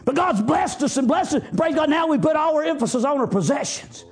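A man with a Southern US accent preaching a fervent revivalist sermon, exhorting loudly and peppering it with praise interjections. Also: very high voice, lively intonation, a little fast.

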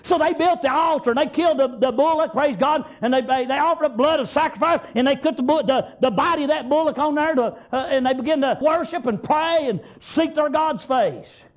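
High-pitched Southern US preacher man. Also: a little fast, hardly any pauses. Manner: folksy, exclamatory, repeats praise God and amen as punctuation. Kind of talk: fervent extemporaneous sermon with Bible reading and retelling.

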